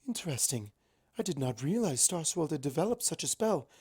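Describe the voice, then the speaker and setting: Calm pony princess mentor, read by a man: stately, formal, pitched a little high, storybook style. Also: fairly quick, husky, dry recording, clean studio sound. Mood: composed, grave.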